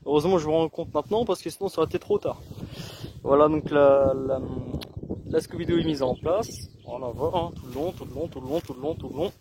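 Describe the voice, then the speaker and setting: A man's voice: a little high, fairly quick, noisy background. A man from France casually explaining, step by step, how he sets up his portable antenna outdoors, stalling often with fillers and restarts. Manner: hesitant, many fillers and restarts. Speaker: CB radio hobbyist demonstrating his gear. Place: outdoors on open ground.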